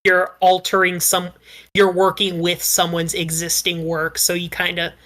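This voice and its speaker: Bright, low-pitched woman. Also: monotone, a little slow. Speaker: podcast panelist. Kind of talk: casual chat.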